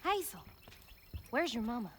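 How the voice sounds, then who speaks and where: A woman's voice: on the high side, big pitch swings, normal speed, a crowd in the background. A woman playing a grave, caring older Southern churchgoing woman in a video game, earnest and folksy, with an American Southern accent. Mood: worried. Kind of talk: video game cutscene acting.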